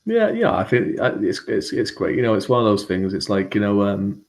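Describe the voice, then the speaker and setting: Mancunian man, low voice, rambling host. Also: sing-song, brisk. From England and Ireland. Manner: rambling, many fillers and restarts. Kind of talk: casual live-stream chat.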